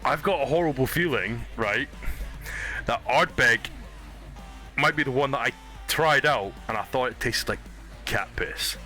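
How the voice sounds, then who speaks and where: Bright-voiced scottish streamer, chatty and sweary, faint music under. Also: male voice, medium pitch, expressive, measured pace, pauses often. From Scotland. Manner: chatty, sweary, laughs easily. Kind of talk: casual gaming stream chat.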